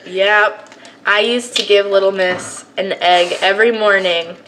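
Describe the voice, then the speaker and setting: A woman's voice: mid-range voice, fairly even intonation, unhurried. A young woman chatting casually and ramblingly to camera at home, in the manner of a family vlogger. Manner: rambling, chatty self-narration. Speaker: family vlogger, mother of a baby. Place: at home, indoors. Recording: clearly noisy home recording with clicks.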